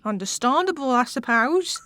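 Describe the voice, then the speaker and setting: Cheeky english witch for a radio sitcom, high bright voice, mouthy n slangy. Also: expressive, moderate pace. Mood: sly, wheedling. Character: cheeky English witch, brash cackling jailer on the make. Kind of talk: comic radio sitcom acting.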